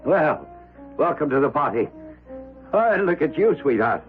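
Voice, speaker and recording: Wealthy old miser father, emphatic and highly animated, dull radio-drama recording. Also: unhurried, faint music behind. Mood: jovial, bossy. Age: middle-aged. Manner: emphatic, blustering. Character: wealthy old miser father, blustering host, secretly fearful.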